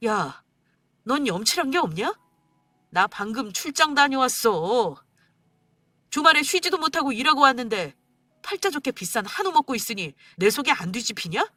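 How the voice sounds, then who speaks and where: Woman reading as a scornful husband in a storybook drama, high-ish, dry close sound. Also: big pitch swings, measured pace, pauses often, no room sound, exaggerated voice. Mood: furious. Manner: shouting, scornful and bitter. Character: angry stingy husband, adult man.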